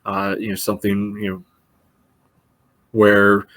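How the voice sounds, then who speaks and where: A man co-hosting a podcast, casually analysing sport in halting speech peppered with "you know". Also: fairly low pitch, completely flat delivery, fairly bright tone. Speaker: podcast co-host.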